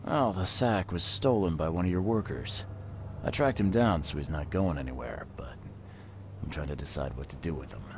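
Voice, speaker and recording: An actor playing a gruff, weary investigator in a video game, plain-spoken and terse, with a calm, fair-minded manner. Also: male voice, extremely low pitch, normal speed, noisy background. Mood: calm, conciliatory. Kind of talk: video game cutscene dialogue.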